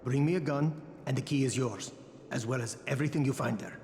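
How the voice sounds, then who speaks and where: A man's voice: medium pitch, fairly quick, vocal fry, a little mellow, roomy sound, a little hiss or hum, natural voice. A man playing a desperate family man in a video game, earnest and pressing as he bargains. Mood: bargaining, earnest. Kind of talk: video game cutscene dialogue.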